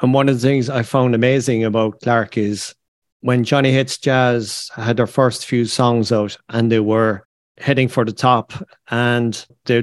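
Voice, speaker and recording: A man talks as a podcast host in an easy discussion style. His voice sits at a middle pitch, recorded dry with hardly any room around it. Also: no room sound. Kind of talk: conversational discussion.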